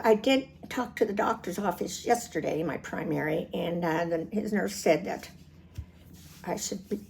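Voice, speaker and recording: Casting: American woman, seventies, chatty video diarist with a gravelly edge and lively, swinging intonation. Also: medium pitch, a little hiss or hum. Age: 70s. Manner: chatty, rambling, prone to chuckling. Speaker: vlogger. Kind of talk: casual personal video diary.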